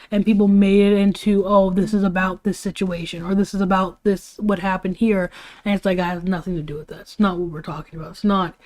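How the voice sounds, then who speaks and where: A woman's voice: medium pitch, darkish tone. Tired-sounding woman livestreaming casually. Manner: informal, opinionated, swears casually. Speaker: livestream host. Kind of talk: casual rambling livestream commentary.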